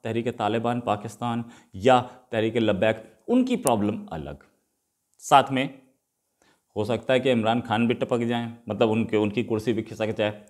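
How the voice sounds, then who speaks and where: A man with an Indian accent giving unscripted, opinionated news commentary as a host, in an argumentative way full of rhetorical questions. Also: mid-range voice. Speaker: host commenting on current affairs.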